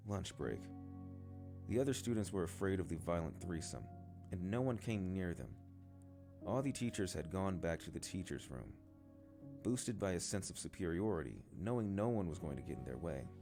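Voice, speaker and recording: A man's voice: deep voice, very animated, moderate pace. A man reading a novel aloud as a scripted narration. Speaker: amateur story reader. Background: guitar music.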